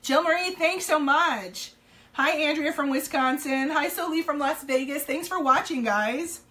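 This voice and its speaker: A woman, slightly hoarse, chatting casually with viewers while selling pearls on a home live stream. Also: high-pitched, unhurried, fairly bright tone. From New Jersey, US. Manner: chatty, upbeat, many ums, greets viewers. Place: home room, indoors.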